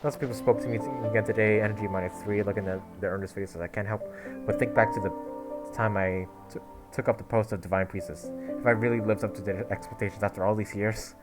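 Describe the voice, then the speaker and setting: A man's voice: fairly low pitch, fairly even intonation, fast talker. A man playing a game story quest and chatting casually, muttering and reading game text aloud with little stumbles. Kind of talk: casual gameplay commentary. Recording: loud game music behind.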